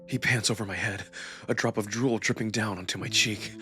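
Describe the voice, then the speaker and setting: A man performing dramatic first-person narration from a scripted story, with expressive, emotional emphasis. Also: lowish voice, fairly quick. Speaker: narrator and voice performer of a visual novel. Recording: music behind the voice. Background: music.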